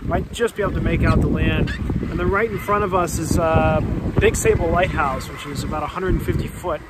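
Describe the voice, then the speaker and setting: A man's voice: a little high, normal speed. A young man chatting casually with lots of ums as he narrates a sailing trip, filmed on a phone at arm's length out on the water. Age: young adult. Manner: relaxed, chatty, many ums and uhs. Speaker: sailing vlogger. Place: on a sailboat out on open water. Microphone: phone held at arm's length. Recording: phone audio with loud wind noise. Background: wind and boat noise.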